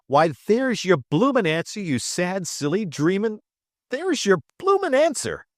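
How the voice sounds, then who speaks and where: A man's voice: high-pitched, sing-song, measured pace, no room sound, clean studio sound, voice pushed up. A man voicing a triumphant, boastful male space trader in an audiobook, grandiose and teasing as he lectures. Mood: exuberant. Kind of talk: audiobook character dialogue.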